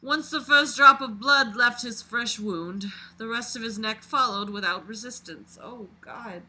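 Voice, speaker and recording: A mid-pitched reader with a very bright, sharp voice. The delivery is a dramatic read-aloud, expressive and exclamatory, broken up by mocking, sweary asides. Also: measured pace, rough recording. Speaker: reader and commentator.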